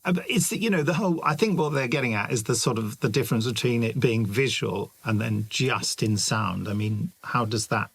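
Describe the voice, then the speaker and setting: A man with a British accent and a gravelly, husky voice, putting questions in a conversational interview. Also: medium pitch, normal speed, hardly any pauses, no echo.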